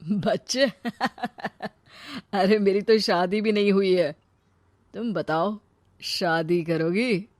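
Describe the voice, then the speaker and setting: Woman voicing a smooth-talking young suitor. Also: mid-range voice, very slow, pauses often, a little mellow, no room sound. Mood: confident wooing. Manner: casual, persuasive. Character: smooth-talking young male suitor, brisk and self-assured.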